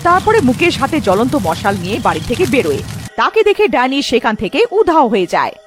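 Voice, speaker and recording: A woman's voice: a little high, sing-song, fast, over music. A woman narrating a scripted cartoon story.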